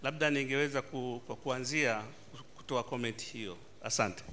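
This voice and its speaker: A man from Tanzania, answering on a formal panel in a halting manner with formal greetings. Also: mid-range voice, bright, forceful tone, slight background noise. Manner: halting, many fillers and restarts, formal address. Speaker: panelist, government official.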